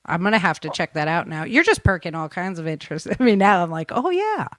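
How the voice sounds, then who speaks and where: A woman's voice: on the low side, sing-song, fast talker, dry recording, studio quality. An American woman hosting a podcast in a casual, chatty, informal and enthusiastic way. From United States. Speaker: podcast host. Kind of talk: casual interview chat.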